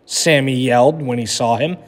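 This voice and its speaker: A man's voice: mid-range voice, moderate pace. A man speaking as a teacher to young children, in the style of a read-aloud lesson. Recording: echoey room with long reverb.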